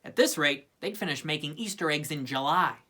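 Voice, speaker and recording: A woman narrating a children's storybook in an expressive scripted reading style. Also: low-pitched, fairly quick. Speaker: storybook reader.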